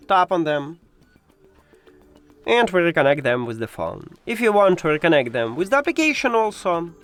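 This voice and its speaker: Male tech presenter, non-native English speaker, fairly high voice with very animated intonation, guiding viewers through steps, faint music behind. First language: not English. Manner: instructional, addressing the viewer directly. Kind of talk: step-by-step spoken tutorial.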